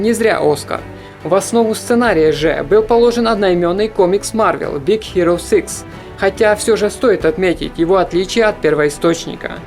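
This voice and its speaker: A man reading a scripted voice-over about animated films in an even, informative manner. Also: sits high. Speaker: film review narrator. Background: soft background music.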